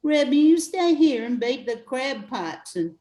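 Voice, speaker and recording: A woman's voice: high voice, big pitch swings, unhurried, mellow, echoey room. An older woman playing a plucky, affectionate, folksy bayou mother in a radio play. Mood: game, brisk. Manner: folksy, homespun dialect.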